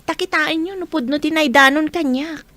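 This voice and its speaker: A woman's voice: high-pitched, moderate pace, sharp tone, no room sound. A woman playing an earnest, concerned young student who pleads sincerely in an amateur audio drama.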